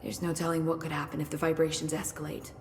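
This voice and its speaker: A woman's voice: low-pitched, completely flat delivery, fast talker, faint music behind. A woman playing an earnest, analytical, cautious research scientist in a video game cutscene, with a precise, urgent way of explaining. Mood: concerned. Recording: echoey hall, faint noise.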